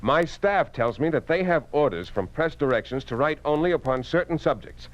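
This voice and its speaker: A man's voice: on the high side, expressive, moderate pace, lightly acted. An actor playing a stern, principled newspaper boss in a 1950s radio drama, commanding and pointed in his questions, on a muffled old recording. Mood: firm, troubled.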